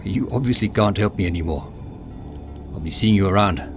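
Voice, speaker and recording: A man's voice: deep voice, a little fast, over music. An actor playing a blunt, impatient male traveller who talks in a curt, dismissive way. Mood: dry.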